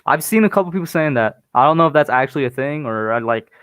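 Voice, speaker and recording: A man's voice: a little high, lively intonation, fast talker, fairly bright tone, clean tone, dry recording. Man in dry, casual sports chat. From Guyana. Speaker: sports stream panelist.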